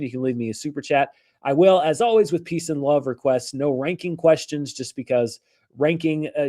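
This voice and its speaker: A hoarse man hosting a casual live-stream about movie news, rambling and correcting himself as he goes. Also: fairly high pitch, darkish tone. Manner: rambling, self-correcting, chatty. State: hoarse from allergies.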